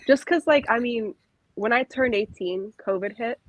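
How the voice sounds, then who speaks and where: A woman in her twenties talking as a guest in a relaxed, laid-back way, laughing easily. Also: on the high side, unhurried, no echo. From USA. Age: 20s. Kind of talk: casual chat. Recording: dull lo-fi dry sound.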